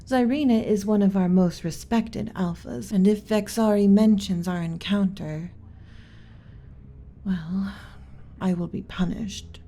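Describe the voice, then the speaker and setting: A woman playing a gentle, submissive alien servant in an ASMR roleplay, speaking softly and breathily with hesitant, deferential pauses and some whispering. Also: mid-range voice, steady tone. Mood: worried, confiding. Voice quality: soft, breathy with whispered stretches. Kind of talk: ASMR roleplay, soft intimate delivery.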